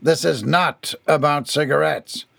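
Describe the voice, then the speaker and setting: A man's voice: on the high side, a little slow, gravelly, dry recording, pitched above the performer's own voice, heavily acted. Man voicing a thunderous, stern old boss. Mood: stern, accusing. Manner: forceful, bellowing, accusing. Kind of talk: dramatised audiobook dialogue.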